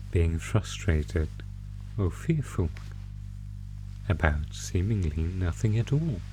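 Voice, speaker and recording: A man playing a sadistic monster who taunts captive prey with theatrical, drawn-out menace in an ASMR horror audio roleplay. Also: sits low, mellow, slight background noise, rough recording. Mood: menacing, gloating.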